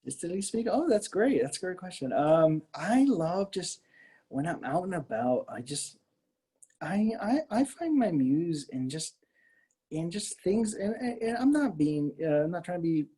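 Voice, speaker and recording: A man's voice: sits high, very animated, normal speed, warm tone, breathy edge. A middle-aged American man from the Miami area talking casually as an artist being interviewed, in a hesitant, rambling way with repeated words. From Miami area, Florida, US.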